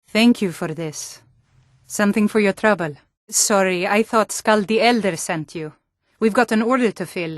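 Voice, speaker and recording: A woman's voice: medium pitch, no room sound, clean studio sound, lightly acted. A woman voicing a proud, blunt adult Nordic townswoman in a fantasy video game, with earnest, dramatic delivery. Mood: businesslike. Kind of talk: scripted fantasy game dialogue.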